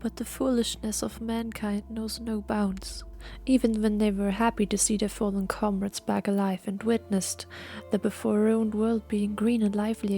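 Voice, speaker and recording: A woman reading scripted fantasy audio play narration in a solemn, measured storytelling manner. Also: medium pitch, gravelly, dark tone. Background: music.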